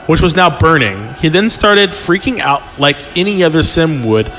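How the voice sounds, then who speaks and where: A man reading a story aloud as a podcast host, giggly and excitable, often breaking off into casual joking asides. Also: fairly high pitch, moderate pace. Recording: music behind the voice.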